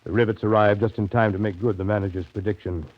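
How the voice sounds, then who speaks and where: An actor playing a brooding steamboat captain who narrates a jungle voyage in grave, measured 1930s radio drama style. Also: male voice, fairly low pitch, steady tone, lightly acted. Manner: grave, measured, descriptive storytelling. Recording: tinny 1930s radio broadcast with music behind.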